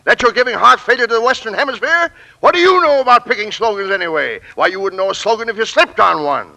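A man's voice: sits high, animated, quick pace, creaky, pushed and bright, full character voice, poor audio. An American man playing a pompous, exasperated coffee company boss in a 1940s radio comedy, sputtering and bellowing. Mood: furious, impatient.

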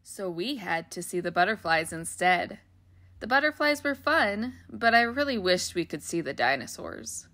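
A woman with a bright, clear voice reads a children's picture book aloud, gentle and expressive for young listeners. The sound is close and dry, with no room around it. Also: on the low side, moderate pace, no room sound. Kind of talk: storybook read-aloud.

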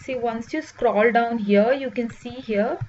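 A higher-pitched woman guides viewers through a web app demo step by step, often pausing on "uh". The recording sounds dull and lo-fi, with a faint hiss behind her voice. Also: a little mellow. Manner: explains step by step with frequent "uh" pauses. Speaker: software demo presenter. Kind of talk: screen-recorded product walkthrough.

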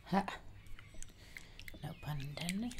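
A young woman voicing a casually menacing jungle giantess in a soft, chatty whispered ASMR roleplay, close to the microphone. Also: on the low side, expressive, background noise. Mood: soothing, attentive. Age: young adult. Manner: soft, slow, repetitive, chatty. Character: casually menacing female jungle giantess who eats people.

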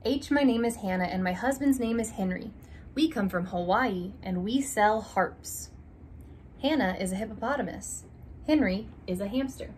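A young woman reading a children's alphabet picture book aloud, slow and deliberate, stressing each opening letter, in a small room. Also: medium pitch, darkish tone, slight background noise. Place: small room indoors by a curtained window.

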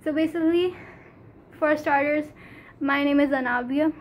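A teenage girl from Pakistan with a Pakistani English accent, Urdu her first language, talking earnestly to a phone camera in a small room, halting, with many fillers. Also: sits high, fairly even intonation, a little hiss or hum. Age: teens. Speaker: vlogger. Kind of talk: casual talk to camera. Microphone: phone camera at arm's length.

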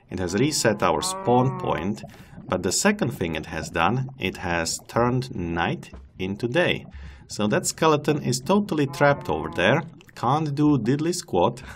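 This is a man with a lowish voice, speaking English as a second language, who talks slowly and casually through a game session. He chats to the animals he meets and laughs at himself. A faint hiss sits under the desk-mic recording. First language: not English. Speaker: let's play host. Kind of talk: casual gameplay commentary.

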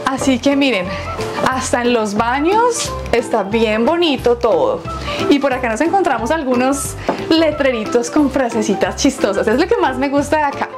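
Upbeat young woman, high-pitched, touring a venue on a lapel mic with music underneath. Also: animated, moderate pace. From Colombia. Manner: chatty, playful, laughs at her own jokes. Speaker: vlogger touring a restaurant. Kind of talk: casual walk-through vlog. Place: large colorful restaurant interior, indoors.

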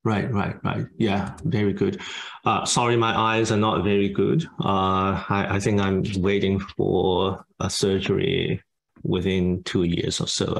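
A man from Hong Kong with a Hong Kong English accent that shifts between British and American, speaking in a measured, thoughtful way over a video call from his study. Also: low voice, gravelly. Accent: Hong Kong English, mixing British and American. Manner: measured, thoughtful, halting pauses. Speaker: guest speaker. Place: home study with bookshelves. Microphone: webcam on video call.

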